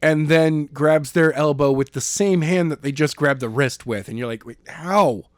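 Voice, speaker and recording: A man's voice: fairly high pitch, moderate pace, no echo. A man co-hosting a podcast, casually discussing a story with sarcastic remarks and some swearing. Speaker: podcast co-host. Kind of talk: casual discussion of a novel chapter.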